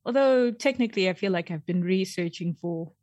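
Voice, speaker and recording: A woman's voice: on the low side, brisk, darkish tone, dry recording. A woman chatting casually as an interview guest about her work as a podcast writer, in an informal, upbeat way.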